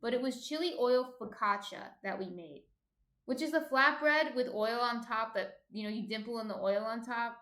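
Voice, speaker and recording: A woman's voice: a little high, normal speed, a bit bright, reverberant. Chatty woman with a slight Jersey accent. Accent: New Jersey. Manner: chatty, thinks aloud while cooking. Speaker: baking livestream host. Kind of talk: casual chat reading viewer comments.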